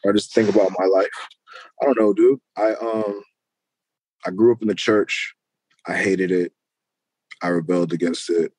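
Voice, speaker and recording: A man's voice: sits low, fairly even intonation, normal speed, long pauses, darkish tone, breathy edge, dry recording, rough recording. A man chatting casually as an interview guest, loose, slangy and freely swearing. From Queens, New York, United States. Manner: slangy, profane, loose and conversational. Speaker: interview guest, rap musician.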